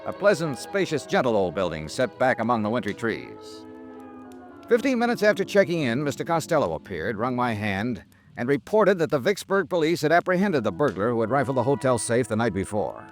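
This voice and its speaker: An actor playing a tough, wry insurance investigator in a 1950s radio drama, with a clipped hard-boiled delivery. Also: male voice, medium pitch, sing-song, brisk, faint music behind. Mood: wry, matter-of-fact. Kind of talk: 1950s radio detective acting, first-person expense-account narration.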